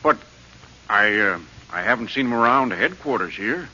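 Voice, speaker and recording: A man's voice: medium pitch, lively intonation, normal speed, pushed and bright. An actor playing a hesitant, apologetic police subordinate who defers to his boss, in 1940s radio drama style. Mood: meek. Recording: muffled old radio broadcast.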